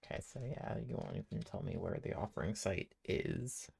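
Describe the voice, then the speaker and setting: Creaky-voiced streamer chatting over gameplay. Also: deep voice, moderate pace, a little mellow. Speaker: video game streamer. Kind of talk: casual gameplay commentary.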